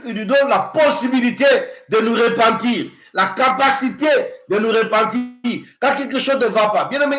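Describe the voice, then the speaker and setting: A man in his fifties delivers a sermon with a high, bright, ringing voice. He swings between loud exhortation and hushed, prayerful passages. The room is echoey around him. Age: 50s. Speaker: pastor.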